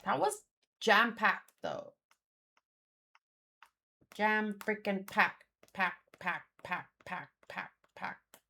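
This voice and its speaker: A woman's voice: medium pitch, extremely slow, gravelly, pauses often, pushed and bright. A woman giving casual reaction commentary as a host, thinking aloud in a musing, hesitant way and often repeating words. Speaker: host of a manga read-along.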